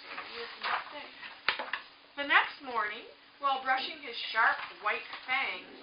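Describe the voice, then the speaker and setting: A woman teacher reading a picture book aloud to young children, explaining simply and checking in with short questions. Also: fairly high pitch. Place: echoing room with a small group of children. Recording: echoey, distant, muffled, noisy room recording.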